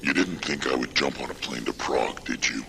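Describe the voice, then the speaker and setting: A cryptic underground informant in a game. The voice is extremely deep, gravelly and sharp-edged, with deliberate, formal phrasing and faint noise behind it. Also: male voice, heavily acted. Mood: measured, cautioning. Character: secretive male hacker informant, measured and cryptic. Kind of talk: video game cutscene acting.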